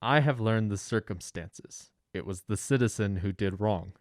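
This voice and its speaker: A man playing calm, commanding mayor. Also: on the low side, normal speed, no room sound, close to an everyday voice. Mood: firm. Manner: calm, firm, compassionate. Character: kind, authoritative male town mayor. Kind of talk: radio drama acting.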